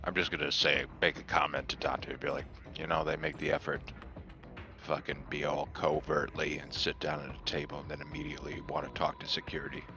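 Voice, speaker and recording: A man's voice: very deep voice, level tone, a little fast, creaky, lo-fi sound. A man playing a tabletop roleplaying game, bantering casually in a blunt, sardonic way. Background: background music.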